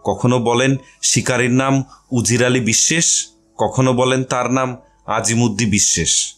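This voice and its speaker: A man's voice: medium pitch, steady tone, unhurried, soft background music. A man reading a literary story aloud as its narrator, in a scripted narration style.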